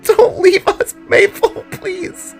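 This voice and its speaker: Grieving creature kid for audio story, female actor: piercingly high, halting pleas, background music. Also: fairly even intonation, gravelly, heavily acted. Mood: desperate pleading. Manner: pleading, repeating words in short bursts. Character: grief-stricken child creature, pleading and frightened. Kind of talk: dramatic audio story acting.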